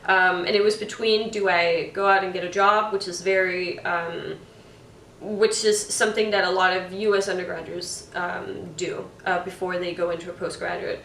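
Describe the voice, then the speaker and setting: A woman's voice: fairly low pitch, little pitch movement, moderate pace, fairly bright tone, distant mic, a little hiss or hum. Young danish woman talking it through, lots of ums, sounds a bit off-mic in an echoey room. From Denmark. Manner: thoughtful, hesitant with many ums and restarts. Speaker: former student. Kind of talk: casual talk.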